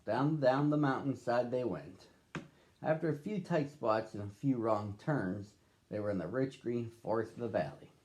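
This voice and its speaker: A man reading a picture book aloud to children as a storyteller, with expressive phrasing and drawn-out words. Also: medium pitch. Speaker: storybook reader.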